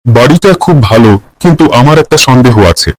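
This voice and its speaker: A man's voice: mid-range voice, lively intonation, moderate pace, no echo, close to an everyday voice, poor audio. A man voicing a courteous, cautious but easygoing husband and house buyer in a cartoon. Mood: doubtful.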